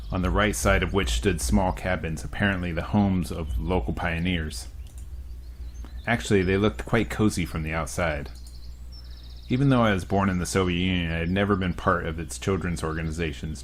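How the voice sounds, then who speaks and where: A man's voice: sits low, steady tone, moderate pace, slight background noise. A young man hosting a let's play, reading a visual novel aloud with crude joking asides.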